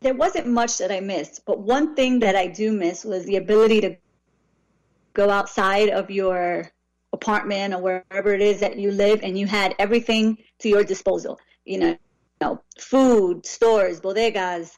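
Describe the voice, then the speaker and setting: A woman in her thirties, from New York, answering interview questions in a relaxed, reflective way. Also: medium pitch, normal speed, poor audio. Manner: relaxed, reflective, frequent restarts. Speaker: author and guest.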